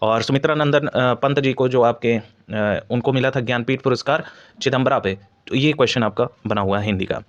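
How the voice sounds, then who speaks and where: A man's voice: mid-range voice, fairly quick, muffled recording. Man explaining like a coaching teacher. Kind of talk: informal exam analysis addressed to students.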